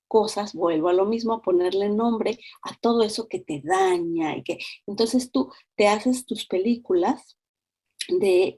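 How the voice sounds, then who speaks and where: A middle-aged woman with a Mexican accent, explaining things as an interview guest in an expressive, conversational way, acting out examples. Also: medium pitch, husky.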